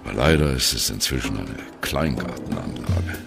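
Casting: wry old jazz veteran narrating a radio play, bottomless gravelly bass, dramatic storyteller's phrasing. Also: male voice, animated, full character voice. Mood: sardonic. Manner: wry, dramatic, deliberate phrasing with colloquial turns. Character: ageing male jazz musician, wry, reminiscing on postwar youth. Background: music.

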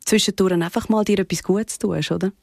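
Swiss female music host, Swiss German accent, lively chat, dead dry studio sound. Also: mid-range voice, a little fast, gravelly, no room sound. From Switzerland. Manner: lively, chatty, asks the co-host questions. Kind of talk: casual radio chat.

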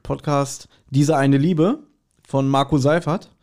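A man's voice: medium pitch, fairly even intonation, slow pace, a little mellow. A man hosting a casual podcast chat, telling stories in a rambling, joking way. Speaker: podcast host.

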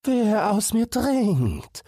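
Slow, very high enchanted spring. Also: male voice, big pitch swings, dark tone, husky, dry recording, clean studio sound, exaggerated voice. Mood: eerie warning. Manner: slow, drawn-out, chanting. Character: enchanted murmuring spring, slow eerie warning voice.

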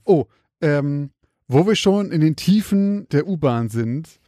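A man's voice: a little high, expressive, takes it slowly, mellow, dry recording, studio quality. A man, talking casually as co-host of a podcast. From Germany. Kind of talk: casual chat.

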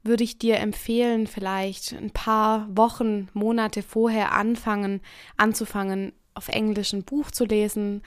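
A woman's voice: mid-range voice, no room sound. Earnest German-speaking woman, dry sound. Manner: earnest, reflective, with frequent "ähm" fillers. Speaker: podcast host. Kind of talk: casual solo podcast monologue.

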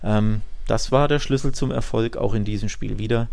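A man's voice: lowish voice, steady tone, normal speed, vocal fry, a little mellow. A man hosting a football podcast in casual discussion, talking emphatically and stacking phrases for effect.

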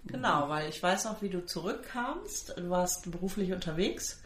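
A woman's voice: on the low side, animated, moderate pace, roomy sound, slight background noise. A woman with a stuffy nose from a cold, co-hosting a podcast in a chatty, teasing way and often cutting in. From Germany. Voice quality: nasal. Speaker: podcast co-host. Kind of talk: casual chat.

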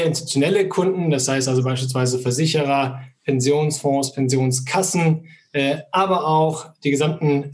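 A middle-aged man answering interview questions about his firm, measured and full of fillers. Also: on the high side, a little hiss or hum. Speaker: asset manager guest.

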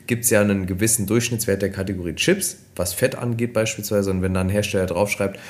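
A man, a nutrition expert co-hosting a podcast, explaining casually with many fillers, slightly hoarse from a cold. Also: lowish voice, steady tone, quick pace.

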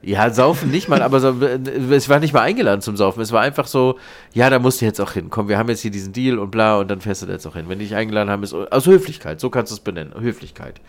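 A man with a German accent and a blocked nose, chatting and telling anecdotes casually as a podcast co-host, colloquial and quick to swear. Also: fairly low pitch. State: blocked nose from an oncoming cold.

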